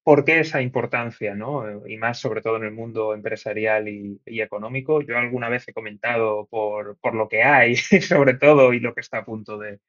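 A man hosting a podcast interview, lively and lightly joking, stumbling a little now and then. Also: lowish voice, normal speed, hardly any pauses. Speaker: podcast host. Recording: dull lo-fi audio.

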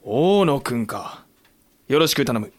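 A man's voice: medium pitch, big pitch swings, slow pace. An actor playing a blunt, wry samurai commander who protects his men, in a period audio drama with terse, commanding delivery. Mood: calm, wry. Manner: terse, commanding, gruff phrasing.

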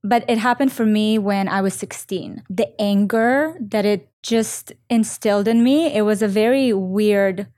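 A woman's voice: medium pitch, a little slow, hardly any pauses, darkish tone, dry recording, studio quality. A woman hosting a casual interview, asking friendly and admiring questions. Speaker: podcast host.

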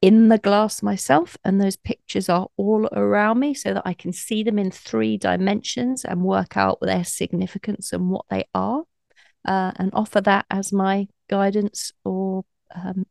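A woman guest with a slightly croaky, gravelly voice, explaining her psychic practice in a thoughtful, conversational way during an interview. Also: mid-range voice, steady tone, unhurried, darkish tone, dry recording, studio quality. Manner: thoughtful, explanatory, light laughs.

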